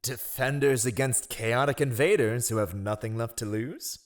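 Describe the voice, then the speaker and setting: A man's voice: mid-range voice, normal speed, close to an everyday voice. A man playing a ruthless, sardonic spy master who advises a monarch with silky, mocking courtly formality in a fantasy audio roleplay. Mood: insistent.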